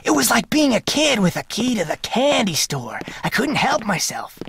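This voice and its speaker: A voice actor playing a boastful, cocky thief henchman in a video game, smug and relaxed. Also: mid-range voice, moderate pace, vocal fry, husky, no room sound, exaggerated voice.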